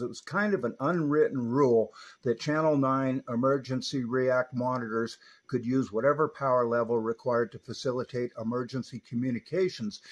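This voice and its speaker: A man giving a hands-on technical equipment review, explaining each detail methodically as a presenter. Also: medium pitch.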